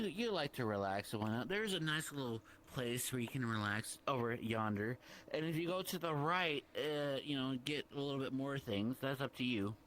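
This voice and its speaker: A young man in casual online roleplay chat, boastful and teasing, talking in a halting, chatty way. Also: medium pitch, fairly bright tone, poor audio. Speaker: roleplayer, guild staff member. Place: online virtual-reality chat. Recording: faint background noise.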